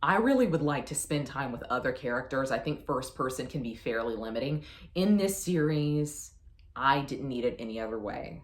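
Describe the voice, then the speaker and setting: A woman's voice: a bass voice, animated, normal speed. A woman chatting casually as a book reviewer, effusive and emphatic with a playfully self-deprecating manner. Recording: roomy, echoey sound.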